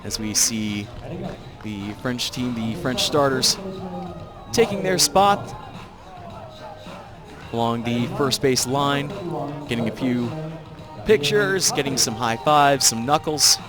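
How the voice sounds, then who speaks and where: A man's voice: mid-range voice, animated, slow, pauses often, music in the background. A man from the United States calling a baseball game live, reading out the lineups carefully and apologizing for his pronunciation of the names. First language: English. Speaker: play-by-play commentator. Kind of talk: live sports commentary. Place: outdoor ballpark broadcast.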